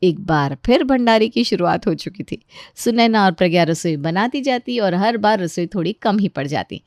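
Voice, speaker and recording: A woman's voice: mid-range voice, lively intonation, normal speed, a little mellow, dry recording, clean studio sound. A woman reading scripted narration for a children's story.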